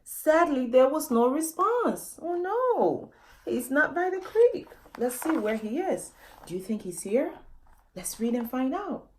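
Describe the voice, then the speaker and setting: A woman's voice: high-pitched, big pitch swings, a little slow, slight background noise, rough recording. A woman author reading a children's story aloud, expressively, often addressing young listeners directly with questions.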